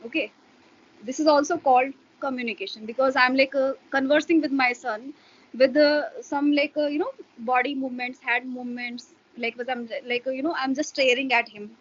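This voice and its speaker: A woman's voice: sits high, steady tone, moderate pace, slightly rough, lo-fi sound. A woman, a Hindi speaker, explaining her views in an interview with a hesitant manner and many fillers. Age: adult parent. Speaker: interview guest. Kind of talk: casual explanatory interview talk.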